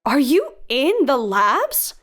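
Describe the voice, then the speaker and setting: A woman's voice: high-pitched, wildly swooping pitch, takes it slowly, a bit bright, full character voice. An actress playing a protective, insistent female investigative podcaster in an audio drama, questioning firmly and earnestly. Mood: probing, suspicious. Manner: probing, firm, earnest. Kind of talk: audio drama phone dialogue.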